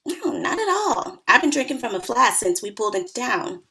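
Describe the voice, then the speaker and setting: A woman's voice: a little high, very animated, fairly quick, gravelly, sharp tone, slight background noise, full character voice. An actress in her early thirties playing a wry, teasing, hard-drinking bridesmaid in a comedy table read. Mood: breezy.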